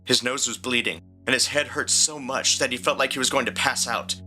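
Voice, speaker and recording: A man's voice: mid-range voice, fairly quick, pushed and bright. A man reading a scripted story as a dramatic narrator, with music underneath. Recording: prominent music bed.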